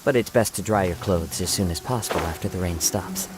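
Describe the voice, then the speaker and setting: A man playing a composed, courteous gentleman in a video game, speaking in a formal, measured manner. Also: sits low, heavy background noise, close to an everyday voice. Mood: serene. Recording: music behind the voice.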